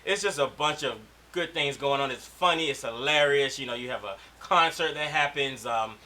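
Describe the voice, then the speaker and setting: Easygoing man, bright voice, laughing a lot. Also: medium pitch, normal speed. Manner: easygoing, laughs often, repeats words like "exactly". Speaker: guest filmmaker. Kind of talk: casual interview chat. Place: studio in Las Vegas.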